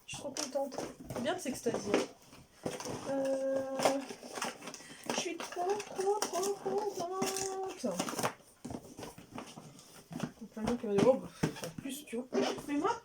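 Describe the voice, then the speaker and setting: A woman's voice: high voice, lively intonation, takes it slowly, pauses often, heavy background noise. A woman chatting casually as she unboxes beauty products, rambling and interrupting herself, sometimes dropping to a near-whisper. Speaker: beauty vlogger.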